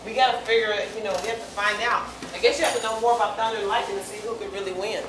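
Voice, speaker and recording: A middle-aged woman reading and chatting to children in an expressive, playful, teasing way, like an author sharing her poem. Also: medium pitch, racing. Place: bare indoor room with office chairs. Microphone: far camera microphone. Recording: echoey room, far mic, noisy.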